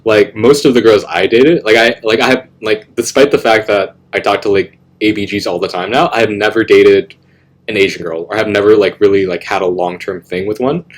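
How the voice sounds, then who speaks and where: A young man hosting a casual chat, animated and laughing often, full of "bro" and "like", with a deep voice. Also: brisk. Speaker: host.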